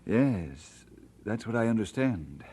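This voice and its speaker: An actor playing an earnest, humble pastor, speaking politely and sincerely in an old-time radio drama style. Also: male voice, on the low side, very animated, takes it slowly, warm tone, slightly rough, full character voice. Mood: courteous, frank. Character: earnest, humble, courteous male pastor, newly married. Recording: noisy vintage radio transcription.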